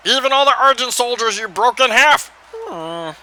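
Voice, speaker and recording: A man's voice: extremely high pitch, animated, moderate pace, sharp tone, heavily acted, rough recording. A man voicing a boastful, pompous wrestling manager with grandiose, theatrical delivery in a cartoon. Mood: smug.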